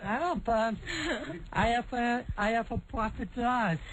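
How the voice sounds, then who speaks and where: High-pitched, halting man. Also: steady tone, over a noisy crowd, low-quality recording. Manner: halting, repetitive. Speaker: radio show guest. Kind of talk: casual chat.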